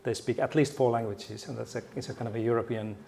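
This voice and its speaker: A man's voice: medium pitch, fairly even intonation, fast, creaky, dark tone, slight background noise. A middle-aged man from Finland with a Finnish accent, giving a conference keynote in a friendly, joking way with frequent restarts. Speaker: keynote speaker. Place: conference hall.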